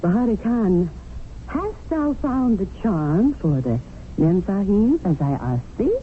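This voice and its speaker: Radio-drama memsahib: worldly, managing, lecturing Englishwoman, expressive pitch, narrow hissy sound. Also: takes it slowly. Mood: coaxing, pleased. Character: pragmatic, domineering English widowed memsahib in colonial India. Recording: tinny, noisy old radio broadcast.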